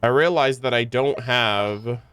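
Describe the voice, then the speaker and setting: A man doing casual, slangy live game commentary, excitable and swearing freely, sometimes reading game text aloud. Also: medium pitch, a little slow, a little hiss or hum. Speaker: game streamer.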